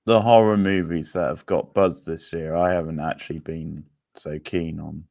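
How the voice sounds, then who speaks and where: Bloke chatting on a quiz stream, slow and rambly, croaky voice, really dry close sound. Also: male voice, a bass voice, fairly even intonation, a little mellow, no echo. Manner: unhurried, rambling, replying to viewers. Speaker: livestream quiz host. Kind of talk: casual livestream chat.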